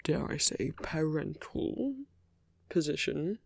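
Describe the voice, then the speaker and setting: Man acting a slow, gravelly, teasing villain. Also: a little high, expressive, no echo, clean studio sound, heavily acted. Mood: gentle, careful. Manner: unhurried, hushed, pausing between phrases. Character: smooth teasing male villain, tender toward his enemy. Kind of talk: intimate ASMR roleplay acting.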